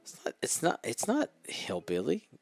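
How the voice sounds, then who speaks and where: A man's voice: mid-range voice, wildly swooping pitch, moderate pace, creaky, breathy edge, no room sound. A man co-hosting a live stream of a tabletop miniatures game, commentating in a chatty way and bantering playfully with viewers. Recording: close dry mic with faint music.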